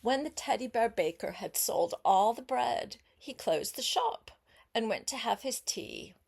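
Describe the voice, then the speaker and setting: An older woman reads a children's story aloud as a grandmother would, expressively, pausing to chat and put simple questions to her young listener. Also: a little high, expressive, creaky, darkish tone, slightly rough.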